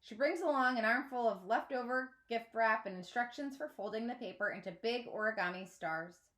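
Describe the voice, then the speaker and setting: A woman's voice: on the high side, normal speed, a bit bright. Woman reading a children's book aloud. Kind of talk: expressive read-aloud storytelling.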